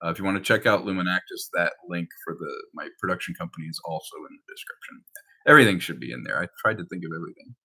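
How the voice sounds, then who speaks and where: Bright, low-voiced man chatting casually. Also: expressive, fast talker, vocal fry, breathy edge. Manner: rambling, anecdotal, acts out quoted dialogue. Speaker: film director and screenwriter. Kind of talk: casual conversational update about projects.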